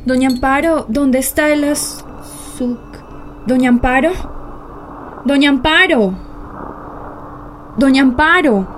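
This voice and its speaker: An actress playing an anxious young law-firm secretary in a radio drama, speaking with a Colombian accent. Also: female voice, high voice, slow pace, long pauses, a little hiss or hum. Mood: uneasy.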